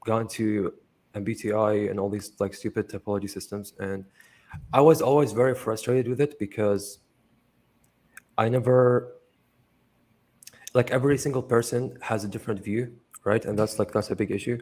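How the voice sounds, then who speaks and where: A man's voice: lowish voice, fairly even intonation, normal speed, pauses often, a little mellow. A young man from Iraq, talking casually as an interview guest, rambling with lots of fillers and swearing freely. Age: young adult.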